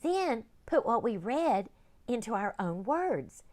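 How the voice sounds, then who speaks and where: A woman's voice: fairly high pitch, big pitch swings, takes it slowly, darkish tone, voice pushed up. A woman voicing a polite, earnest schoolgirl explaining her assignment.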